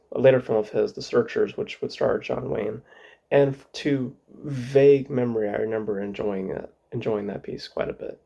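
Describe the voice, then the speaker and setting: A man hosting a casual film review, hoarse from a cold, rambling with many fillers and restarts. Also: medium pitch, a little mellow, lo-fi sound. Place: home.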